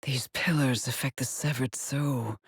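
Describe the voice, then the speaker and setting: An actress playing a weary, haunted woman space explorer in a video game, speaking in brooding, deliberate monologue with a husky, breathy voice that often drops to a whisper. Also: very deep voice, fairly even intonation, no room sound, studio quality, exaggerated voice. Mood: brooding, analytical. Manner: slow, deliberate, cryptic pronouncements. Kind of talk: dramatic video game monologue, log-like narration.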